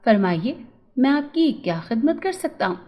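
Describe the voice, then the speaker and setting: A woman's voice: fairly high pitch, very animated, moderate pace, highs cut off. A woman voicing a polite, honest male shopkeeper who is eager to serve in a storybook tale. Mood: polite, obliging. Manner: courteous, deferential.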